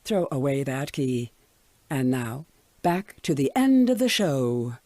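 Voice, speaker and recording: A woman's voice: a bass voice, expressive, slow, a little mellow, dry recording, exaggerated voice. A woman playing a composed radio sponsor announcer, reading scripted station messages with tongue-in-cheek corporate polish.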